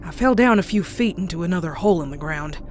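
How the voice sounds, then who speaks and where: A woman voicing a young country pony, frightened but stubborn, narrating a horror story in a hushed, folksy, suspenseful way. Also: fairly low pitch, expressive, quick pace, faint music behind, lightly acted. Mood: wary, tense. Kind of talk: dramatic first-person horror narration.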